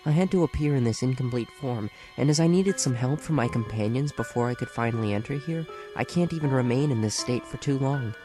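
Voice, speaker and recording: Man playing a polite calm spirit, teasing, mid-pitched voice, loud music behind. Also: brisk, few pauses, lightly acted. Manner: smooth, polite, lightly teasing. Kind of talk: fan audiobook acting.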